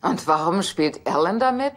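An actress playing a nosy, cheeky, gleefully mocking housekeeper in a German-dubbed sitcom. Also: female voice, fairly low pitch, animated, moderate pace. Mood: gleeful mockery. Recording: very noisy TV soundtrack rip.

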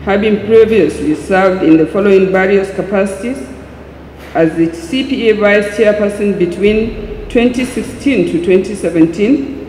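A woman's voice: mid-range voice, dark tone, a little hiss or hum. Solemn woman speaking in an echoing hall. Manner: solemn, measured, deliberate phrasing. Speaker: parliamentarian giving a tribute. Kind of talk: formal eulogy read from a script. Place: large indoor atrium hall at a memorial ceremony. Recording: echoey hall, long reverb, music behind.

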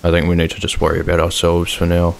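A man playing a cautious, guarded survivor in hiding, measured and wary, in a game roleplay. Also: deep voice, monotone, vocal fry, background noise. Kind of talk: improvised game roleplay drama.

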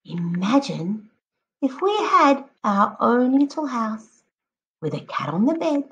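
A woman teacher reading a picture book aloud to children, expressively, addressing them directly and encouragingly with drawn-out emphatic words. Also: fairly high pitch, sing-song, highs cut off. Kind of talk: expressive children's read-aloud with prompting questions.

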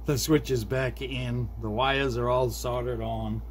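A man's voice: mid-range voice, fairly even intonation. A middle-aged man talking casually and unhurriedly, half to himself, as he demonstrates a hands-on repair at a desk. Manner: unhurried, muttering to self while working. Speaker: hobbyist repair video host. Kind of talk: casual hands-on demonstration, narrating as they work. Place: small indoor desk workspace. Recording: faint background noise.

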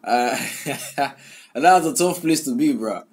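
A man's voice: mid-range voice, expressive, takes it slowly. A young man hosting a song reaction, talking casually with many fillers and restarts as he repeats lyrics back. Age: young adult. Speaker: music reaction host. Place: small room indoors. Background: song playing in the background.

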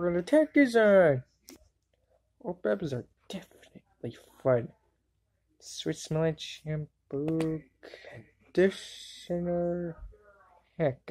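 Brief: woman voicing a young cartoon boy wizard, low-set voice, wildly swooping exclamations, slow wisecracking delivery. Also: darkish tone. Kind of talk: fan dub voice clips.

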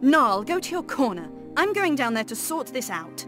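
A woman playing a bossy, sardonic young elder-sister mistress in a fantasy video game, brisk and nagging. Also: sits high, sing-song, music in the background. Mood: irritated.

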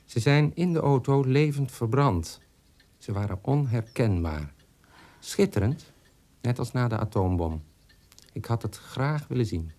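A man's voice: medium pitch, lively intonation, unhurried, mellow, natural voice. Bitter, morbid man played by an actor. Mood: morbid relish. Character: bitter son, morbidly hateful of his dead parents. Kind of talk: radio drama monologue.

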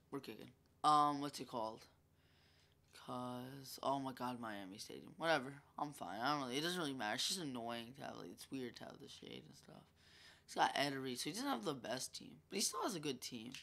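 A man's voice: medium pitch, lively intonation, a little fast, gravelly, pushed and bright. A young man with a congested voice, chatting casually as he comments on his own football video game match. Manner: chatty, rambling, filler-heavy. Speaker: gaming YouTuber.

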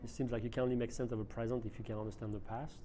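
A man's voice: mid-range voice, little pitch movement, very fast, mellow, a little hiss or hum. A man hosting a round-table interview, asking hesitant, searching questions at a restaurant table. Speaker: host. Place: restaurant dining table, indoors.

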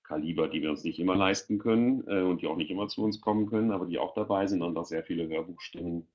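Very deep-voiced man, dull call audio. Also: fast talker, darkish tone. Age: middle-aged. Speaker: panel guest presenting a children's audio portal. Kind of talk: informal presentation in an online webinar. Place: indoor room, video call. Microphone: headset on a video call.

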